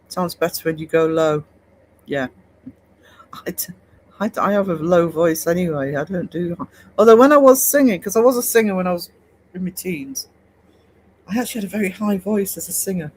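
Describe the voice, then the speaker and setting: Chatty man with a high voice. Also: poor audio. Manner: chatty, rambling, many fillers and restarts. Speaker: art livestream host. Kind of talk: casual chat reading live comments.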